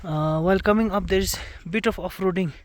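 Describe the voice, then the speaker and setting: A man's voice: high-pitched, brisk, no echo. A man whose first language is Nepali, chatting to camera as a travel vlogger on a mountain trip, pointing out sights like a casual tour guide. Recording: noisy outdoor camera audio.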